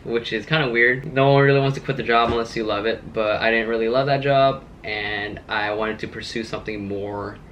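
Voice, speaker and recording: A man in his late twenties talks straight to his viewers in a loose, rambling way, drifting between thoughts with easy fillers. His voice sits somewhat low. A faint hum of background noise runs under the recording. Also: fairly even intonation, brisk, hardly any pauses, a bit bright, highs cut off. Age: 20s. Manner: rambling, laid-back, lots of "like" fillers. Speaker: car vlogger. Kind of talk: casual vlog chat.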